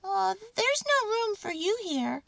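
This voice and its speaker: A woman voicing a tiny, excitable, tender-hearted male mouse with a squeaky, expressive voice in a children's story. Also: slow pace, gravelly, full character voice. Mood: indignant.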